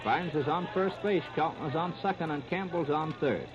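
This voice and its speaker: 1930s radio baseball announcer, higher-pitched man. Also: moderate pace. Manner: clipped present-tense narration in short phrases. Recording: scratchy, noisy, muffled 1930s radio recording.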